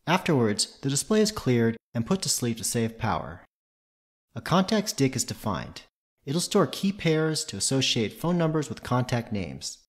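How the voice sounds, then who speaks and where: A man's voice: medium pitch, very animated, moderate pace. Methodical man narrating a technical tutorial. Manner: methodical, matter-of-fact. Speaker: tutorial presenter.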